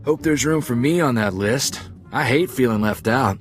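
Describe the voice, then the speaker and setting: A man's voice: medium pitch, expressive, normal speed, faint music behind, lightly acted. A man playing an earnest, wary young gunslinger sidekick in a video game, with a wry manner.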